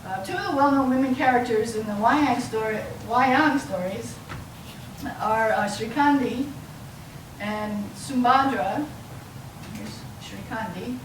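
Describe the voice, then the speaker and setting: A woman's voice: a little high, a little slow. Distant, echoey woman lecturer. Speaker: university lecturer. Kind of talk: academic lecture to an audience. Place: lecture room, mic some way off. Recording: roomy, distant mic, clearly noisy.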